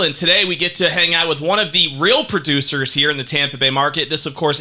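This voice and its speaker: A man hosts a podcast in a studio and keeps the talk loose and friendly. His voice is very bright and forward. He leans on fillers as he asks his questions. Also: fairly high pitch. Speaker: podcast host. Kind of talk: casual interview.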